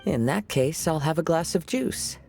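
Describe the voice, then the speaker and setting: Chill poetic anime traveler, mellow gravelly low voice, unhurried, music behind. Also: male voice, expressive. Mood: calm, musing. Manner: gentle, unhurried, reflective. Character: serene, poetic young male wandering traveler. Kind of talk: anime game voice acting. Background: background music.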